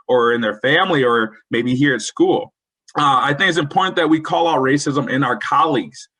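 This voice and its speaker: A man leads an educator webinar with a bright, forward tone. His voice has a slightly husky edge, and he sits a little above the usual male pitch. He talks in an earnest, coaching way. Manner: earnest, coaching, quotes example phrases. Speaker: webinar presenter. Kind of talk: presenting a professional development webinar.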